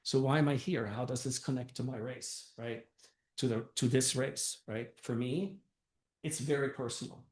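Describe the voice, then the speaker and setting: A man's voice: medium pitch, steady tone, normal speed. An older man who grew up in Austria and Germany, a first-time council candidate speaking earnestly at a community meeting, with many fillers. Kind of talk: campaign speech at a meeting. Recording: echoey room.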